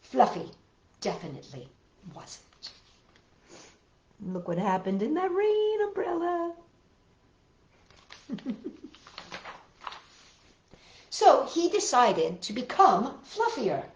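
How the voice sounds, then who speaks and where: A woman hosting a children's storytime, reading picture books aloud with expressive, exaggerated delivery. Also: high-pitched, slow pace, long pauses, a little hiss or hum. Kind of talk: animated picture-book read-aloud and sing-along for young children. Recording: roomy echo with guitar music.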